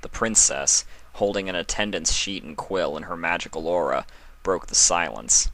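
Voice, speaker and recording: A man reading fan fiction aloud as narrator, in an expressive storytelling style. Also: deep voice, normal speed, vocal fry, low-quality recording.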